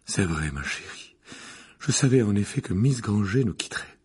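A man voicing a solemn, theatrical woman who teaches divination, with breathy, drawn-out, portentous delivery in an audiobook. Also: lowish voice, expressive, a little mellow. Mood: mournful.

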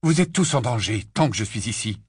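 A man's voice: mid-range voice, lively intonation, fairly quick, darkish tone, husky, dry recording, clean studio sound. A man voicing a stubborn teenage boy. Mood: insistent. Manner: insistent, clipped.

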